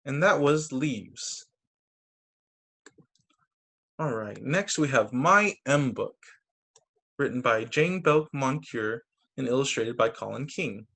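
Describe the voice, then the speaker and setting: A man reading picture books aloud to children as a teacher, expressive, with brief casual asides. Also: mid-range voice, measured pace, vocal fry, breathy edge. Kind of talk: animated children's story reading. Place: remote video story time.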